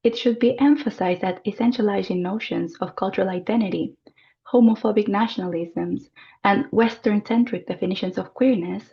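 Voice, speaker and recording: A woman presenting an academic paper in a formal, measured reading style. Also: medium pitch, vocal fry, dull audio. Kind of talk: reading a prepared conference paper.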